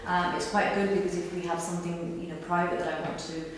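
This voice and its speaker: A woman in her thirties, raised in the UK with Punjabi as her first language, answers questions in a thoughtful way, often pausing with ums. Her voice sits a little low for a woman. It is picked up from across a very echoey room with a faint hiss behind. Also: level tone. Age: 30s. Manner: thoughtful, with frequent ums and restarts. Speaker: interviewee. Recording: echoey room recorded from a distance.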